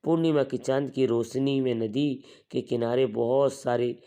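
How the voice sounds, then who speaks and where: A woman's voice: a bass voice, fairly even intonation, unhurried, mellow. A woman reading a scripted horror story narration for a cartoon.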